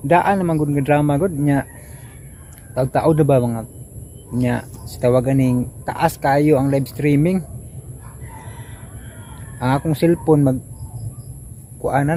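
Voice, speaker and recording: A man's voice: on the high side, dark tone, a little hiss or hum. A man with a Cebuano accent hosting casually, rambling to listeners and often ending phrases with a questioning tag. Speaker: host introducing archived radio dramas.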